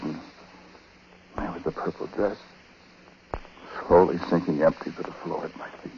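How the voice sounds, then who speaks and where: A man playing a haunted, condemned husband telling his story haltingly and dramatically in a 1940s radio drama. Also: deep voice. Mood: bleak, anguished. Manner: hushed, halting, dramatic first-person telling. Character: haunted condemned husband recounting his wife's uncanny death. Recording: noisy, muffled 1940s radio broadcast.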